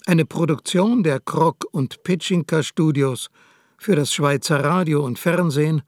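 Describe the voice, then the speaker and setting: A man reading the closing credits of a radio production in a measured, formal way. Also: fairly high pitch, darkish tone, no echo, clean studio sound. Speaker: credits announcer.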